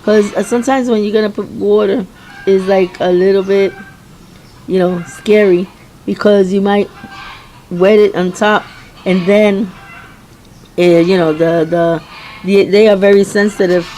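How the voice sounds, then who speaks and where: A middle-aged woman with a hoarse voice giving a rambling, chatty garden tour outdoors, often stopping and restarting her sentences. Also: medium pitch, fairly even intonation, measured pace, pauses often, warm tone, faint music behind. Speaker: home gardener. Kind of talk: casual garden tour vlog.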